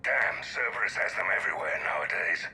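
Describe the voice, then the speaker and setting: An actor playing a gruff, cynical older mercenary in a video game, gravelly and curt. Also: male voice, deep voice, lively intonation, fairly quick, bright, forceful tone, exaggerated voice. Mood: gruff, irritable. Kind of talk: dramatic game voice acting.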